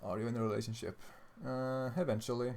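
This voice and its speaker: A man's voice: mid-range voice, fairly even intonation, fast talker, vocal fry, a little mellow, a little hiss or hum. A young man from Sweden, a native Swedish speaker, chatting casually on a livestream, blunt, profane and tired as he answers questions. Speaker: streamer answering chat questions.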